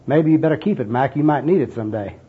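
A man's voice: medium pitch, fast, breathy edge. A man voicing a dejected, bleary skid row bum in a comic skit, talking in puzzled, slangy street talk. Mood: baffled, wry. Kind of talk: comic skit acted out in a sermon. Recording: dull, muffled lo-fi recording.

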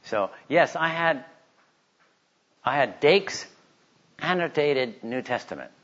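A man giving informal religious teaching, telling stories and reading aloud in an animated way. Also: fairly high pitch, takes it slowly. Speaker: Bible teacher giving a talk. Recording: slightly dull, lo-fi recording.

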